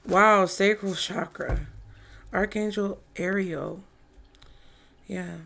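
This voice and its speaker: A hoarse woman giving a casual, chatty tarot reading to her viewers. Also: medium pitch, a bit bright. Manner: slow, chatty, laughs often. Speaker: tarot reader.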